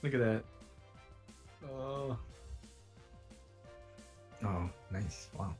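A man chatting casually over a game in a flustered, halting way. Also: lowish voice, darkish tone. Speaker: gamer in group commentary. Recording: clear game music behind the voice. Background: game music.

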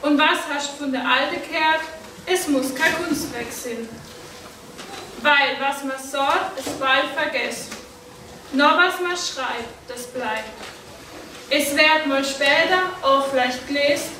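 A young woman with a Banat Swabian accent reciting a rhyming dialect poem in measured rhythm, recorded from far off in a large hall. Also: sits high, bright, forceful tone. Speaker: poetry reciter. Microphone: far camera. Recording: echoey hall from far off, noise.

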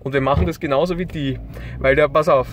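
A man's voice: fairly high pitch, steady tone, fast. A young man with an Austrian accent, chatting casually in a moving car, rambling and joking with mock complaints. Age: young adult. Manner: self-mocking, joking complaints. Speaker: vlogger filming from the passenger seat. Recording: loud car and road noise. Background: engine and traffic noise.

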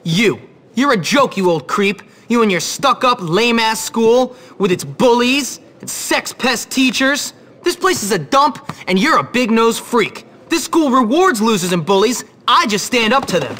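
A man's voice: very high voice, lively intonation, measured pace, bright, forceful tone, heavily acted. A man playing a cocky, hot-tempered teenage schoolboy in a video game, brash, sarcastic and mouthy. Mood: angry, defiant. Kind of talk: video game cutscene acting.

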